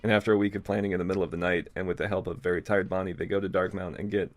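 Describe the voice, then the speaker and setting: A man reads fan fiction aloud for comedy in a slightly low voice. He moves at a brisk clip and breaks off for casual, mocking asides. The sound is close and dry, with hardly any room in it. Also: little pitch movement, no echo. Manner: mocking, ad-libs jokes while reading.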